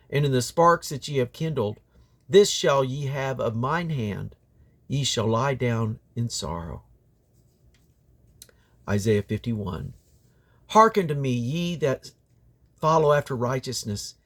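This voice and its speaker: A man reading scripture aloud in a solemn, declamatory way, with deliberate phrasing in short units. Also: medium pitch. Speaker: Bible reader.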